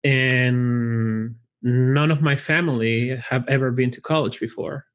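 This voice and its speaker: A man with a Chilean Spanish accent, a college recruitment director, talking in a friendly, relaxed way with frequent restarts in a casual interview. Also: mid-range voice, slow pace. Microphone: video call.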